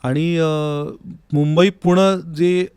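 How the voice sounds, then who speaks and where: A man's voice: a little high, fairly even intonation, drawn-out words, a little mellow, smooth, no echo. Earnest man, close dry sound. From Maharashtra, India. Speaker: interview guest, classical vocalist. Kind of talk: conversational interview answers. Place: recording studio.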